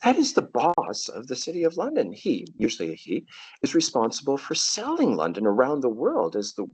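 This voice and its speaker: A man's voice: mid-range voice, huge pitch swings, a little fast, a little mellow, slightly rough, no room sound. A middle-aged man with a Canadian accent, telling stories as a guest on a casual podcast and often repeating a word as he starts. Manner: thoughtful, storytelling, repeats words at starts. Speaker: guest, tour guide.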